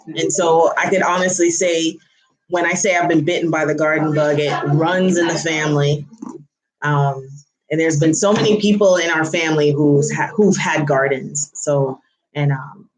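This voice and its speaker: A woman's voice: deep voice, low-quality recording. A woman hosting a casual livestream, chatting and addressing viewers directly. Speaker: livestream host, home gardener. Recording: live stream with people talking behind.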